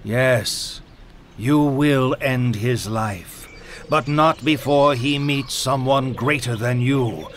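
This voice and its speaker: An actor playing a solemn, cryptic seer in a fantasy game, speaking in a portentous, deliberate manner. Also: male voice, medium pitch, a little hiss or hum, close to an everyday voice. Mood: solemn, foreboding. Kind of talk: dramatic fantasy game dialogue.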